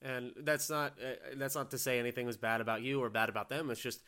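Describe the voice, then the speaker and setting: A man hosting a podcast conversation, talking in a measured, reflective way and affirming his guest. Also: medium pitch, fairly even intonation, bright, forceful tone, clean tone. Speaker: podcast host. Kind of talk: casual conversation.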